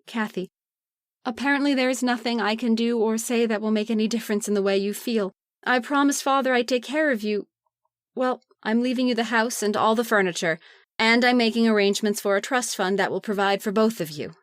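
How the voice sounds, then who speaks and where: An actress plays a stern, dutiful sister in an old-time radio drama. Her voice is fairly high and dryly recorded, with a cool, formal, measured composure. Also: no echo, clean studio sound, lightly acted. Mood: cool, firm. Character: firm, coolly formal, dutiful sister about to marry.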